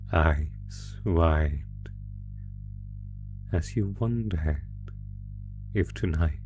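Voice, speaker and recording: A man voicing a sadistic, predatory monster from under the bed in a horror audio roleplay, taunting the listener with mock-sweet, savoring menace. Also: rumbling low. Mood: eager, hungry.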